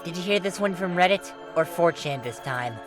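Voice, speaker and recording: A man's voice: sits high, lively intonation, moderate pace, over music, voice pushed up. A man voicing an irritable, sarcastic young male ghost in a fan fiction reading, snapping with exasperation.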